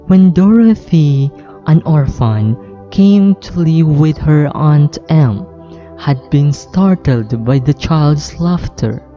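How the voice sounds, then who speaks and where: Very slow, mellow female storyteller. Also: sits low, highs cut off. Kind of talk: scripted children's story narration.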